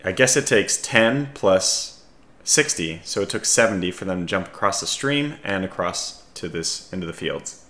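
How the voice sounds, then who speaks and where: A man with a scratchy voice giving casual strategy game commentary, calmly thinking aloud as he analyses his options. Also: deep voice, normal speed, roomy sound. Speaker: gaming video host.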